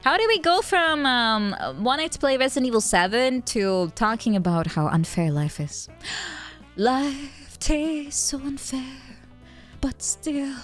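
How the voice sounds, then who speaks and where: A woman's voice: sits high, lively intonation, slow pace. A young woman streaming, chatting casually with viewers. She laughs often, thinks aloud with fillers, and is tipsy from wine. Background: faint background music.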